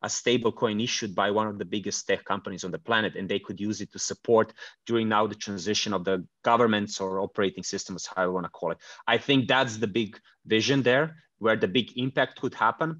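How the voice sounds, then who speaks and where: Male guest from Croatia, rapid delivery full of restarts; muffled, dry remote recording. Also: on the low side, a bit bright, no room sound. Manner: fast, hesitant, many restarts and fillers. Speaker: crypto company founder, guest. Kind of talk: conversational interview answers.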